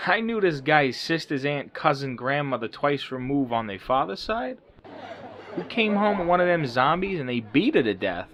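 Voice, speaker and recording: A man's voice: on the high side, sing-song, normal speed, background noise. A man playing a simple-minded, chummy, tactless coworker with folksy, ungrammatical speech in a radio sitcom, on dull lo-fi audio. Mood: sympathetic, blunt.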